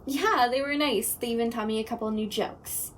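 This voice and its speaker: Ghost prankster, female actor, audio roleplay: bright-spirited, perky, high pitch, light noise behind. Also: normal speed, natural voice. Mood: breezy, playful. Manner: perky, playful, breezy. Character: cheerful, playful pun-loving young ghost, Chris.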